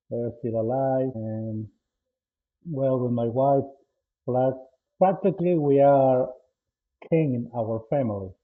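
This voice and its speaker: A man in his forties from El Salvador with a Salvadoran Spanish accent, speaking English as a second language. He talks haltingly as a podcast guest, often restarting and repeating words. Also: medium pitch. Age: 40s. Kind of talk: casual interview answers. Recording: tinny phone-line audio.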